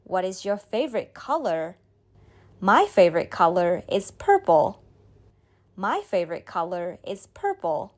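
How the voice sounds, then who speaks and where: A woman reading a beginner's speaking drill, saying each line slowly and clearly, then repeating it. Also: on the low side, very animated. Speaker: model speaker in a language drill. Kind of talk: slow scripted drill reading.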